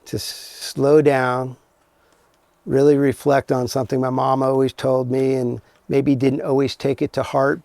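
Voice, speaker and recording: A man's voice: mid-range voice, darkish tone, no echo. A middle-aged man, a podcast guest, chatting casually in a plain-spoken, easygoing way. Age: 40s or older. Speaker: podcast guest, cannabis farmer. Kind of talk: casual chat.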